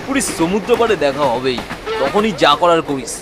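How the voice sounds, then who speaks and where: A man's voice: on the high side, expressive, a little fast, lightly acted. A man voicing a sly, greedy village boss in a Bengali cartoon, oily and scheming. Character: sly greedy village boss, fake-polite male crook. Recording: music behind the voice.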